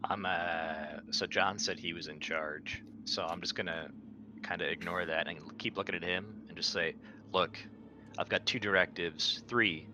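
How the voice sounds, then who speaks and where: Very deep-voiced man over noisy background. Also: fairly even intonation, measured pace. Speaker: player. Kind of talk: casual tabletop roleplay chat. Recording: noisy mic with background rumble.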